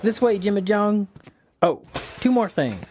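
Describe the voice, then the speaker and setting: An actor playing an upbeat, hurried police officer with a folksy way of talking. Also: male voice, high voice, big pitch swings, a little mellow, a little hiss or hum. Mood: eager. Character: eager, chatty male police officer. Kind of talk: audio drama acting.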